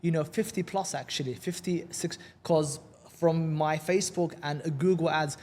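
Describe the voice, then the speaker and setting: A man's voice: a little high, steady tone, moderate pace, a little mellow. A man from London with a London accent, a guest in a casual podcast interview. He talks in an upbeat, chatty way and often repeats words as he starts a sentence. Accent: London English. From England.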